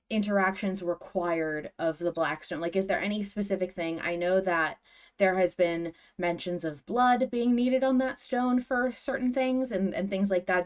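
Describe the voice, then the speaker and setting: A woman hosting a podcast, moderating a casual discussion and putting questions to others. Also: mid-range voice. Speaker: podcast host.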